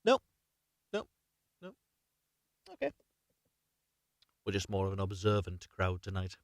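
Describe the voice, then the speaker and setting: A man's voice: sits low, huge pitch swings, a little slow, dry recording, studio quality. A man hosting a live, unrehearsed story reading, narrating expressively, correcting himself and adding chatty asides. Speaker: host reading stories aloud on a livestream.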